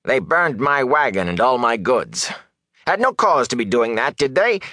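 Man playing ragged storybook peddler, raspy, whining, indignant, jittery, very swooping pitch. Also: big pitch swings, normal speed, bright, forceful tone, dry recording, studio quality. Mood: aggrieved, whining. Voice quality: rasping, sometimes dropping to a hoarse whisper. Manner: rustic dialect, nervous, wheedling rhetorical questions.

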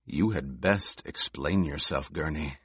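A man reading an audiobook, voicing a young noble heir who speaks in a deadly quiet, controlled monotone. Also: lowish voice, slow pace, breathy edge, no room sound, clean studio sound, heavily acted. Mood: cold. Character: young male noble heir, cold and commanding. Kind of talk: audiobook character voice.

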